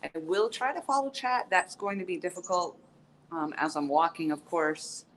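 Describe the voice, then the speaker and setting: A woman's voice: fairly low pitch, moderate pace, a little hiss or hum, poor audio. A woman hosting a casual live-stream tour in an outdoor courtyard, chatty and upbeat with many ums as she greets viewers, sounding stuffy-nosed and sniffly.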